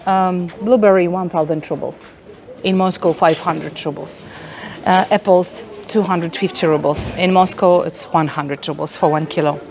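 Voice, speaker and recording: A woman's voice: on the low side, steady tone, normal speed, a little mellow. A middle-aged woman whose first language is Russian, talking in a casual travel vlog to her phone with many fillers and asides to viewers. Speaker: vlogger. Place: busy indoor market hall. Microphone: phone at arm's length.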